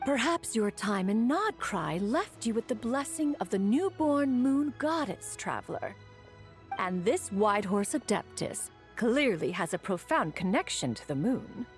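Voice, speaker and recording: A woman's voice: fairly high pitch, sing-song, a little slow, soft background music. A woman voicing a proud, aloof immortal adept in a video game, speaking formally and haughtily. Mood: composed. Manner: formal, haughty, refers to self as one. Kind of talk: game voice acting.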